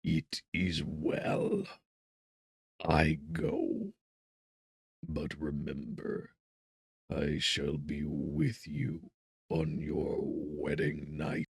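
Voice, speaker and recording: A man voicing a vengeful monster who threatens his maker in a deliberate, menacing way in an audiobook. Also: extremely low pitch, huge pitch swings, vocal fry, husky, dry recording, studio quality, full character voice. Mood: grim warning.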